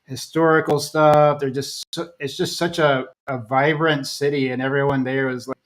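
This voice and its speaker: American man, rambling, flat-voiced host. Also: medium pitch, normal speed. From United States. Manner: rambling, restarts, many fillers. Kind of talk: casual interview chat.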